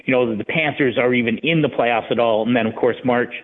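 A man giving casual sports analysis as a guest over the phone, rambling and halting as he thinks. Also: medium pitch. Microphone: phone call. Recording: tinny narrow phone line.

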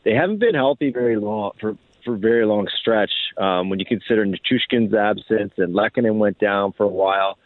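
Male sports analyst, low-pitched, calling in on a narrow phone line, conversational, restarts phrases often. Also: no echo. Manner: halting, repeats and restarts phrases. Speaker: hockey writer, interview guest. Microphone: phone call.

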